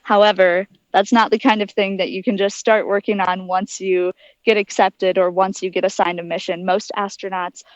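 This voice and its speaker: A woman's voice: mid-range voice, level tone, moderate pace, no echo, lo-fi sound. An American woman giving informal, detailed and articulate explanations as an interview guest. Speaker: interview guest, aspiring astronaut.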